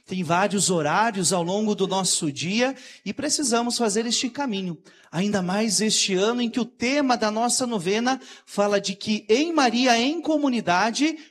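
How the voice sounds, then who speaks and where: High-voiced Brazilian priest leading Mass. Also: male voice. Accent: Brazilian Portuguese. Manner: formal liturgical cadence. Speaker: visiting priest. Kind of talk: liturgical opening and homily.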